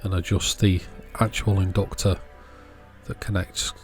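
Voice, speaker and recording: A man's voice: low voice, flat delivery, measured pace, a little mellow, faint music behind. A man hosting a repair video, giving casual hands-on commentary and thinking aloud as he works. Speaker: host of an electronics repair channel.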